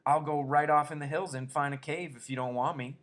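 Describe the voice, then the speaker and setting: A man's voice: steady tone, a little fast, fairly bright tone, close to an everyday voice. A man doing a childlike, whiny simple man. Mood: eager. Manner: high pleading whine. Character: slow-witted big gentle man, childlike and anxious.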